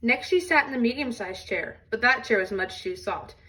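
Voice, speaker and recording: High-voiced woman storyteller, slightly distant mic. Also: expressive, moderate pace, fairly bright tone. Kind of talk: expressive children's storybook read-aloud.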